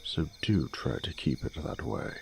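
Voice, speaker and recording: A man acts out an older noble father in a fantasy audio drama, avuncular and dignified. The voice is rumbling and very low, gravelly and unhurried. Faint cricket-like noise runs behind it. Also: animated, a little mellow, full character voice. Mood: gently chiding. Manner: stately, avuncular, measured.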